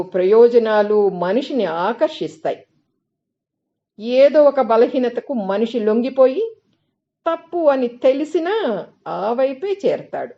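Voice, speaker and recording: A woman reading a scripted spiritual essay as narration, with measured, deliberate phrasing and pauses. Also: on the high side, dark tone, highs cut off. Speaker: reader of literary excerpts.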